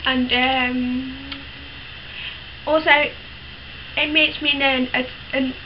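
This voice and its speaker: A teenage woman talks frankly and casually to camera in a high voice, full of ums and restarts. The sound is dull and lo-fi. A clear hiss runs under her speech. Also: level tone, drawn-out words. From United Kingdom. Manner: chatty, frank, many fillers and restarts. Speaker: vlogger.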